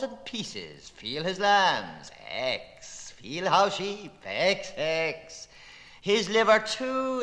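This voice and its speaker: Male literary reader, declamatory and playful, highly animated pitch, long echoing reverb. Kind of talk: theatrical literary reading of an experimental novel.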